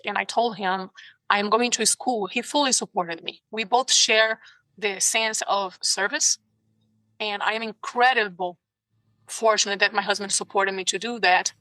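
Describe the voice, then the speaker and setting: A woman's voice: mid-range voice, pushed and bright, no room sound, rough recording. A middle-aged woman from Brazil with a Brazilian Portuguese accent, a nurse guest speaking earnestly in a podcast interview. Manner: earnest and heartfelt.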